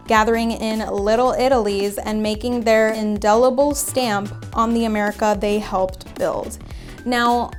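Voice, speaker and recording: A young woman from Puerto Rico, chatting casually and thinking aloud as she reads out her test results. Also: on the high side. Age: young adult. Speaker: vlogger. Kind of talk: casual reading and commentary on DNA results.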